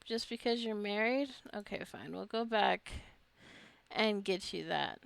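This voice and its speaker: A woman's voice: mid-range voice, unhurried, gravelly, clear, resonant tone, dry recording. A woman giving casual, rambling gameplay commentary as a gaming video host, chatting and thinking aloud to herself and her viewers.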